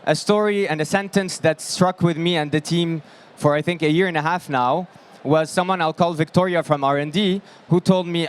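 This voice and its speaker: A man in his thirties presenting on an expo hall stage with a handheld mic. His voice is strained and fading from shouting, and his manner is upbeat and joking. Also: on the high side, clear, resonant tone. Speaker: product presenter. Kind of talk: conference stage talk. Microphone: handheld microphone.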